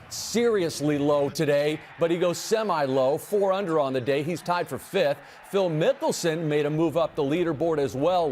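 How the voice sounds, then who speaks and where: Brisk, punchy male sports anchor. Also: fairly high pitch, a little mellow, a little hiss or hum. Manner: brisk, punchy play-by-play delivery. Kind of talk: local TV sportscast.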